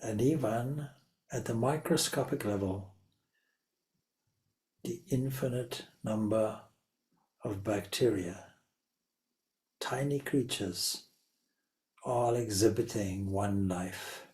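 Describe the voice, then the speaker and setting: A man leading a guided meditation as a spiritual teacher, speaking deliberately in short phrases with long pauses. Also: lowish voice, darkish tone, poor audio.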